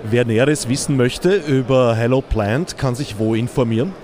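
A man's voice: mid-range voice, normal speed, darkish tone. A man interviewing exhibitors at a fair with brief, direct questions. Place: busy indoor fair hall.

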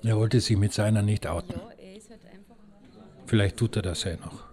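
Lowish-voiced man narrating, measured. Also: steady tone, a little mellow. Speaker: interviewer and narrator.

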